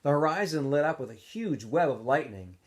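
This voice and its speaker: A man reading a story aloud as a teacher would, in an expressive, animated narrating style. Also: on the high side, normal speed. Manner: animated, acts out dialogue in shifting voices.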